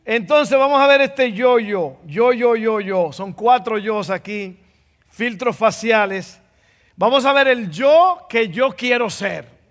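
A man with a Dominican accent gives a joking, playful sermon and keeps circling back to his phrases. His voice sits high for a man. It rings through a church hall with a long reverb. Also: expressive, slow, a bit bright. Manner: playful, repeats phrases for emphasis. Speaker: preacher. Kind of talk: sermon with jokes.

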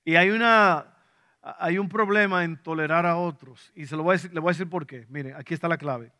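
A man's voice: a little high, brisk, bright, forceful tone. A man with a Dominican Spanish accent, preaching a sermon to a congregation, repeating key points and putting questions to them. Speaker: pastor.

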